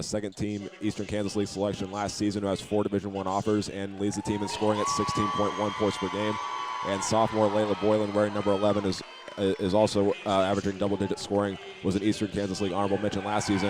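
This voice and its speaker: An American man giving pregame commentary as a play-by-play broadcaster, in an earnest announcer delivery. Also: fairly low pitch.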